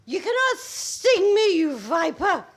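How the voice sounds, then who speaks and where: Shrill, melodramatic older mother-in-law. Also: big pitch swings, slow pace, full character voice. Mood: indignant. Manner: declamatory, wailing, theatrical. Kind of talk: comic radio play acting.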